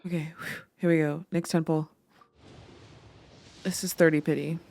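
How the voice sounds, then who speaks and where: A woman hosting a gaming session in casual, dramatic, excitable chat. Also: deep voice, moderate pace, vocal fry, no room sound. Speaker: gaming video host.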